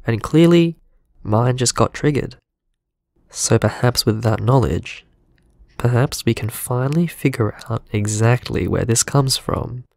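An actor playing a gentle, earnest man, halting and deliberate, confiding shaken in a friend in an audio drama voicemail. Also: mid-range voice, vocal fry, no room sound, studio quality. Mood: earnest, resolved.

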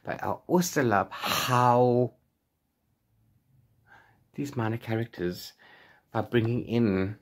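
Male book vlogger, forties, mid-pitched voice, unscripted and playful, tends to double back on his sentences. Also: slow pace, long pauses. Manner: rambling, self-correcting, playful. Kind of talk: casual unscripted chat to camera. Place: small room at home with bookshelves.

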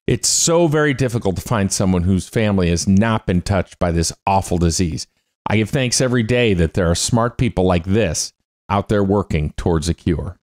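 Middle-aged male host, lively delivery, reading science news in a home studio. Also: sits low, moderate pace. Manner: upbeat, exclaiming. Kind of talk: scripted science news read. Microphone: close studio mic on arm.

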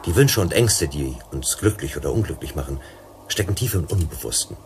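An actor playing an earnest, persuasive psychologist in a German sci-fi radio drama, explaining and pleading. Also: male voice, very deep voice, lively intonation, a little fast, slight background noise. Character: earnest, persuasive male company psychologist and negotiator.

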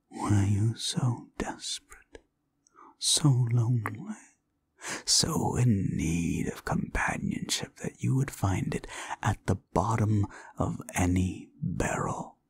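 A man's voice: sits low, creaky, heavily acted. A man playing a cruel, condescending demon in an audio roleplay, talking down to the listener with mocking, theatrical delivery. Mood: menacing, scornful. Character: condescending, cruel male demon summoned as a date.

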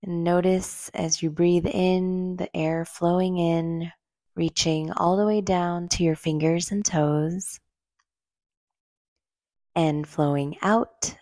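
A woman leading a guided meditation in a gentle, soothing, unhurried way, leaving long pauses between short phrases. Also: fairly low pitch, steady tone, no echo, clean studio sound. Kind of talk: slow guided meditation narration.

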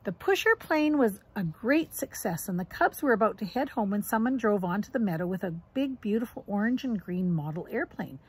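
A middle-aged woman reading a children's storybook aloud in an expressive, instructive way, as if to young grandchildren. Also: mid-range voice, brisk, few pauses, breathy edge. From Canada. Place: outdoors on a wooden deck among trees.

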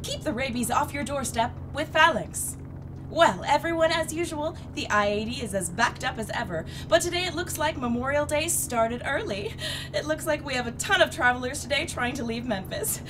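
A woman's voice: sits high, animated, normal speed, recorded from a distance. A woman playing a radio traffic reporter in an audio drama, reporting live as things unfold. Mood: brisk, puzzled.

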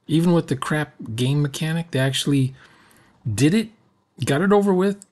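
A man's voice: fairly high pitch, normal speed, darkish tone. An older man giving casual reaction commentary, speaking emphatically and repeating phrases for effect. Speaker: commentator reacting to a recorded roleplay session.

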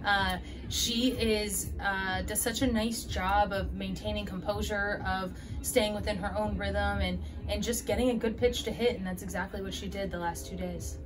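A woman in her thirties, a head softball coach, answering postgame questions earnestly with many fillers, recorded indoors by a window. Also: mid-range voice, fairly even intonation, moderate pace, over music. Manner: earnest, fillers and restarts, gestures while talking. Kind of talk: postgame press interview.

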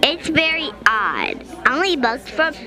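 A woman's voice: high-pitched, wildly swooping pitch, takes it slowly, crowd noise, full character voice. A young female performer voicing a lady librarian in a children's radio drama, careful and theatrical. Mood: puzzled. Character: mysterious lady town librarian.

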